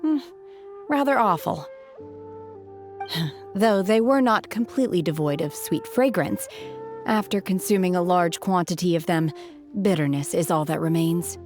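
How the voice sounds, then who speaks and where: A reserved young hermit woman played by a voice actress speaks in a low, measured voice. She is formal and solemn, blunt without any heat, as in a fantasy game cutscene. Faint music sits behind her. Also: a little mellow, close to an everyday voice. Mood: reflective, solemn. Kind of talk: video game cutscene voice acting.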